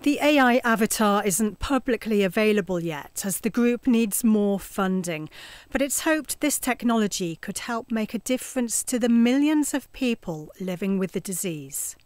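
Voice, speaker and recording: A woman reporter narrating a news package in a measured, scripted voice-over. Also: mid-range voice, lively intonation, dry recording.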